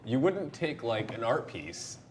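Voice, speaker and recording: An emphatic man chats in a casual group discussion. His pitch is middling but swings around in a lively way, and he pushes his opinions firmly. There is some background noise in the recording. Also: a little slow. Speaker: show panelist. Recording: noticeable background room noise.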